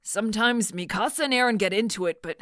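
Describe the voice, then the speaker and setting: A woman playing a gentle, friendly young friend in a fan audio drama. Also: mid-range voice, fast, no room sound, studio quality, natural voice.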